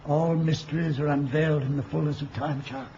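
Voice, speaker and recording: An actor playing an ancient wizard and mentor in a radio play, speaking in a poetic, archaic and wistful manner. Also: male voice, a little high, fairly even intonation, brisk, vocal fry, warm tone. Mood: evasive. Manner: poetic, grandly archaic, chuckling speech. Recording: dull, noisy old radio recording.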